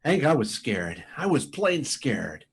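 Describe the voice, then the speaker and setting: Husky, shaken test pilot played by a man. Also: mid-range voice, big pitch swings, takes it slowly, exaggerated voice. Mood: shaken, relieved. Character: shaken, exhausted male test pilot, new father. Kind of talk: 1950s radio drama acting.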